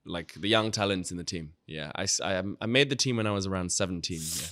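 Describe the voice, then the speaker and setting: A man's voice: low voice, fast talker. A young man from Thailand, a guest in an interview, answering casually and often saying "you know" and "like". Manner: relaxed, frequent "you know" and "like".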